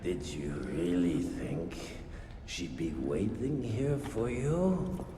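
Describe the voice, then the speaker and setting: An actor playing a mocking vampire henchman with a gravelly voice in a game cutscene. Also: low-pitched, background noise. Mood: taunting. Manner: taunting, theatrical, slow. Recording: game cutscene over loud score.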